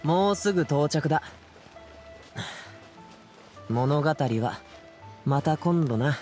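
A man playing a confident, easygoing young escort courier in a video game, with casual, brisk speech. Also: fairly high pitch, lively intonation, darkish tone, faint music behind. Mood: subdued. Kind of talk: Japanese video game voice acting.

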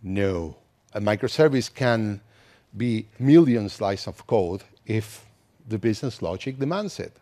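Thirties Argentine man, conference speaker, low mellow voice, easygoing and chatty. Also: unhurried. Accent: Argentine Spanish. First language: Spanish. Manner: relaxed, conversational, with many restarts. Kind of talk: tech conference talk. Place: conference hall, indoors.

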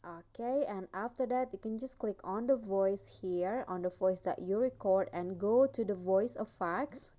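A woman hosting a tutorial, narrating software steps in a casual style. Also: mid-range voice, unhurried, hardly any pauses. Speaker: tutorial host.

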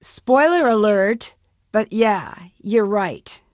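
A woman's voice: medium pitch, expressive, takes it slowly, no room sound, studio quality. An American woman playing a determined young amateur detective in a video game, assertive and questioning. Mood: businesslike, curious. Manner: assertive, questioning, clearly enunciated. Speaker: game protagonist.

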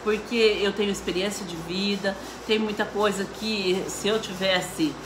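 A woman in her fifties hosting a casual home cooking live stream, chatty and quick to laugh. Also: medium pitch, fairly even intonation, reverberant, noisy background. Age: 50s. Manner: chatty, laughs a lot, frequent "né, ó". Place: home kitchen, indoors. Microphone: phone camera.

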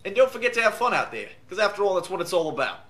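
A man doing a jokey, deadpan kayak demo outdoors. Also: high voice, racing, a little hiss or hum. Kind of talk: comic product demo.

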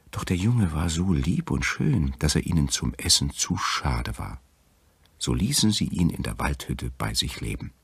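A man with an extremely deep, gravelly voice tells a scripted fairy tale. His delivery rises and falls in a lively way. The sound is dry and close. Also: no room sound. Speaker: storyteller.

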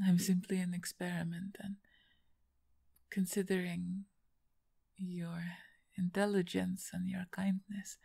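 A woman acts out a big, strong half-orc woman, injured and grateful, for an ASMR roleplay. Her voice is low and full of gravelly creak, with a breathy edge. She talks slowly and haltingly. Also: little pitch movement, exaggerated voice. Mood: soft, sincere. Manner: hesitant, soft-spoken, halting with pauses.

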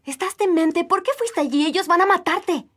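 A shrill, alarmed harem girl. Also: normal speed, a bit bright. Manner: alarmed, scolding. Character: young frightened harem girl, anxious. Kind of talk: Spanish dub of a historical series.

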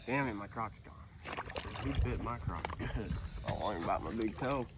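A man, talking excitedly while standing in a lake, filmed from a boat nearby. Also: fairly low pitch, moderate pace. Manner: excited exclamations. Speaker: fishing buddy. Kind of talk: casual outdoor chat. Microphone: camera on a boat nearby. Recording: loud water and wind noise.

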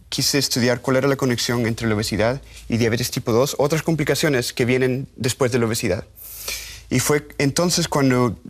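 Guest scientist, male, 30s, Honduran with American tinge, English-native, studio lapel mic, halting. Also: medium pitch. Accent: Honduran Spanish with American English. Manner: halting, restarts words, gestures while talking. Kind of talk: studio interview.

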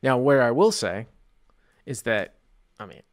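A man in his thirties hosting a poker call-in show, talking analytically and informally into a close studio mic, thinking out loud. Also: medium pitch, big pitch swings, unhurried. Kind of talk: casual analytical poker discussion. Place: small indoor studio. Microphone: close desktop studio microphone, headset on.

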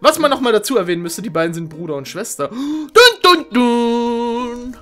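A man's voice: extremely high pitch, moderate pace, sharp tone, faint music behind. Playful male game show host, exclamatory. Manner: joking, exclamatory, theatrical.

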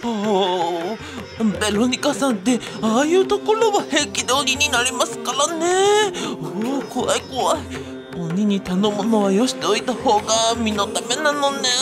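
A woman playing a fussy, vain, self-pitying young man with polite, quirky speech in a comic radio drama. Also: on the high side. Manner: polite, whiny, quirky sentence endings. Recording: music playing behind the voice.

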